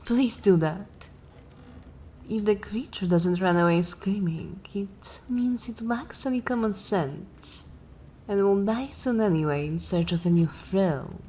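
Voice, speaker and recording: A woman playing an ancient, haughty vampire mistress in a dark fantasy ASMR roleplay, languid and theatrical with disdain, lapsing into whispers. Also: on the low side, a little hiss or hum.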